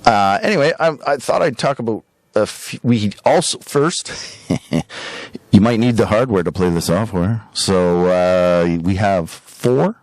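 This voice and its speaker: A man hosting a podcast in a casual, rambling way, showing items for sale with drawn-out emphasis and frequent tag questions. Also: lowish voice, a little mellow, no room sound. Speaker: podcast host and record shop seller. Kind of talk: casual rambling product showcase.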